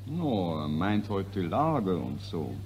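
An actor playing an opinionated, grumbling Austrian officer with a Viennese accent in a 1940s radio play. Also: male voice, deep voice, big pitch swings, slow, a little mellow, slight background noise, heavily acted. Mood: blasé.

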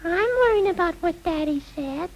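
A man's voice: very high voice, normal speed, muffled recording. A man voicing an earnest, plaintive little girl puppet in a children's educational show, speaking in a hesitant, childlike way. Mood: worried. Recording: old 1960s film soundtrack, music behind.

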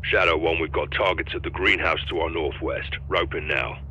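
An actor playing a hard, grim special-forces operator, speaking tersely in a military game. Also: male voice, a bass voice, moderate pace, pushed and bright, muffled recording, slight background noise, full character voice. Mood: controlled, terse. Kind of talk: military game radio dialogue.

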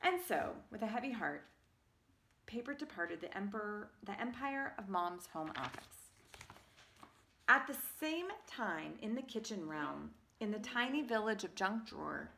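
Woman reading kids book out loud, very expressive, bright voice, bit of noise. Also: medium pitch, creaky. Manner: theatrical, emphatic. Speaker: parent reading a picture book aloud. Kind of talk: expressive storybook narration. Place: carpeted home room with sloped ceiling. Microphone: phone at arm's length.

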